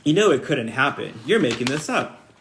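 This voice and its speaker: A man's voice: mid-range voice, big pitch swings, normal speed, fairly bright tone. A man reading aloud as a worried, stern farm mother in a storybook, scolding and anxious in manner.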